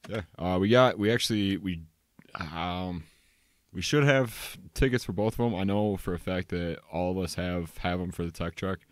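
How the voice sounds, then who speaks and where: A young man co-hosts a podcast in a laid-back, halting way, often restarting his sentences. His voice is very low. The recording is dry and close. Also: no room sound. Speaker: podcast co-host. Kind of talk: casual podcast chat.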